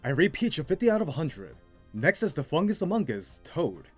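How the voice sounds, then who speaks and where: A man's voice: fairly high pitch, very animated, brisk, a little mellow, slightly rough. A man delivering scripted narration as the host of a video game commentary. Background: music.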